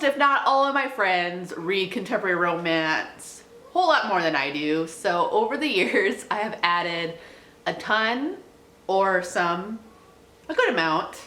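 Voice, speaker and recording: A woman's voice: fairly low pitch, sharp tone. A young adult woman chatting casually about books as a vlogger, with a giggly, self-deprecating way of talking. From small town. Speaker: book vlogger. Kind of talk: casual chatty book recommendations. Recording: echoey untreated room.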